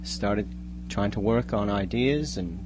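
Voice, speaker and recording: A man, a guest writer, giving casual, somewhat halting and reflective interview answers. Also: on the low side. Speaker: guest, amateur scriptwriter. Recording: noticeable hum and background noise.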